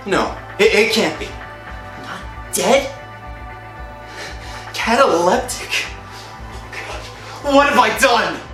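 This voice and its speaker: A man playing an anxious, guilt-stricken working-class husband in an old-time radio thriller, speaking in a halting, dramatic way. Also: high voice, bright, forceful tone, heavily acted. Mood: panicked. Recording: roomy echo, faint music behind.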